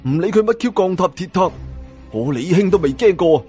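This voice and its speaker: A man's voice: sits high, expressive, a little fast, dark tone, breathy edge, soft background music, pitched above the performer's own voice. Man playing a brash young Cantonese officer. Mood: eager, challenging. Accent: Hong Kong Cantonese. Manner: bold, challenging. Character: brash, fearless young male officer in his twenties.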